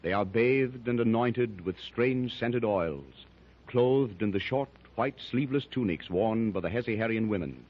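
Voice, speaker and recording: A man giving even, descriptive scripted narration for a 1930s radio serial. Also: lowish voice, measured pace. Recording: dull old radio recording.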